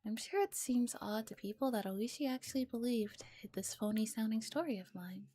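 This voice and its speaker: A woman streamer softly reading a visual novel aloud in a relaxed ASMR style and chatting casually with her viewers. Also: fairly high pitch, moderate pace. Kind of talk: soft ASMR reading with casual chat and commentary. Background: music.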